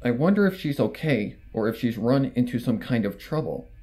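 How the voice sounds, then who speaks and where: Animated man narrating a horror story. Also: mid-range voice, moderate pace. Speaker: fan fiction narrator.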